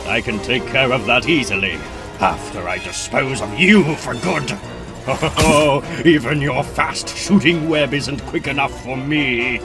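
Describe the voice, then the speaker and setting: A man plays a gloating comic book mad scientist, menacing and theatrically boastful. He takes his words slowly and savours each threat, with prominent music behind him. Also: mid-range voice. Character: gloating male supervillain mad scientist with mechanical arms. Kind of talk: comic book dramatic reading. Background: loud music.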